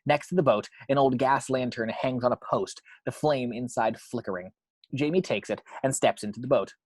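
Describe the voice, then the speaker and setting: A man's voice: mid-range voice, moderate pace, a little mellow, dry recording. Dry-recorded man narrating a screenplay. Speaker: narrator reading action description.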